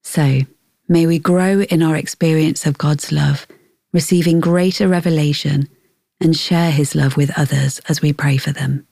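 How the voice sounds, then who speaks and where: Low, mellow woman reading. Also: steady tone, a little slow, gravelly, no echo. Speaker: podcast host and devotional reader. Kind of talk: scripted devotional narration.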